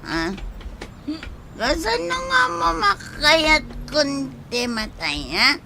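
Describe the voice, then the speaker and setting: A woman's voice: high-pitched, huge pitch swings, extremely slow, gravelly, background noise, heavily acted. A young woman acting in an Ilocano radio drama as a stubborn, drunken young woman grieving her sweetheart, with emotional, tearful delivery. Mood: drunken, defiant, upset.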